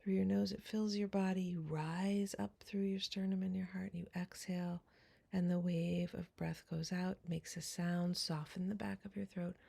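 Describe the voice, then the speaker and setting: A woman leading a guided meditation in a soft, breathy, deliberate voice with long pauses. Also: lowish voice, flat delivery.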